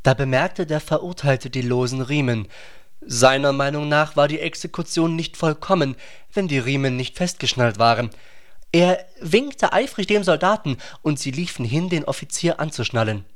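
A man narrates a scripted radio play. His voice sits a little higher than most men's, and he reads literary prose as a storyteller. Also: moderate pace.

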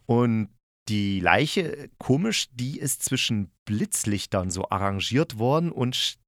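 A man's voice: mid-range voice, expressive, slow, dry recording, studio quality. A man hosting a casual spoken review, chatting informally and thinking aloud as he goes. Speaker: podcast host reviewing audio dramas.

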